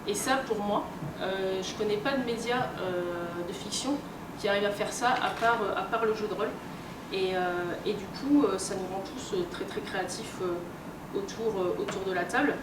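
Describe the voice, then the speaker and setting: A woman's voice: mid-range voice, normal speed. A woman giving an informal conference lecture in a conversational, hesitant way. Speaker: conference speaker. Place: convention talk room. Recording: echoey room, distant mic, clear noise.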